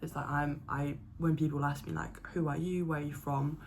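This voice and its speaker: A woman's voice: low voice, little pitch movement, a little fast, creaky, background noise. A young woman whose first language is French, chatting casually on her vlog with lots of fillers and restarts. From born in Zimbabwe, raised partly in Paris. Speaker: vlogger. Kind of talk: casual chat.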